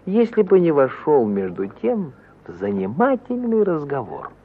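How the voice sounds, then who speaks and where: A wry storyteller narrates an old radio play with theatrical relish. His husky voice moves animatedly into mock-admiring declamation, on a dull, muffled vintage recording. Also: a little high, wildly swooping pitch, measured pace, full character voice. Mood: mock admiration. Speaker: author-narrator in an audio play.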